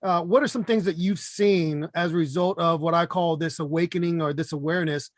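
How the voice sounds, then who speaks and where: A man co-hosting a podcast, asking measured, articulate interview questions over a video call. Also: fairly high pitch, fairly even intonation, no room sound, clean studio sound. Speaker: podcast co-host. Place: room with branded backdrop, indoors.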